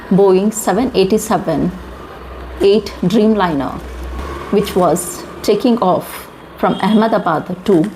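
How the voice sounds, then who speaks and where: A woman with an Indian accent delivers news commentary at a slow, deliberate pace. She speaks in short, emphatic phrases and leans on rhetorical questions. There is some background noise in the recording. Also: fairly low pitch, a little mellow, poor audio. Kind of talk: informal news commentary to viewers.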